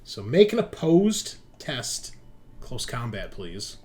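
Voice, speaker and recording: A man's voice: mid-range voice, big pitch swings, takes it slowly, darkish tone. A man running a tabletop roleplaying game as game master, narrating in a casual, colloquial way.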